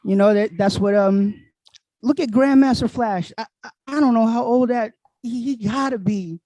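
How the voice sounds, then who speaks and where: High-voiced laid-back New Yorker. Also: male voice, moderate pace, warm tone, no echo. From Queens, New York City. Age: 40s. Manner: laid-back, streetwise, laughs often. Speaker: guest DJ. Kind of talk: casual podcast chat.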